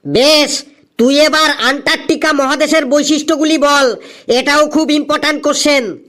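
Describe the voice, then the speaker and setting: A man's voice: extremely high pitch, steady tone, moderate pace, bright, forceful tone. Cartoon schoolteacher, played by a man: exasperated and scheming, swings between scolding and oily coaxing. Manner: scolding, then falsely coaxing. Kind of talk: comedy cartoon voice acting.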